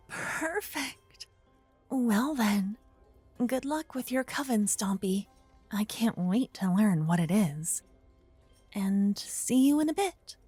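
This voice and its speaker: A woman acting as a sweet young paladin adventurer in an ASMR fantasy roleplay. She speaks slowly in a soft, breathy voice, caring and playfully teasing, and gets flustered easily. The sound is close and dry, with music underneath. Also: a little high, expressive, dry recording, heavily acted. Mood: fond, playful.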